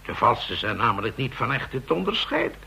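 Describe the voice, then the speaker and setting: Brief: insistent expert in a radio play, husky and sharp, animated delivery. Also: male voice, mid-range voice, a little fast, exaggerated voice. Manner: explanatory, insistent. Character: male counterfeiting expert, lecturing, insistent. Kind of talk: radio drama acting.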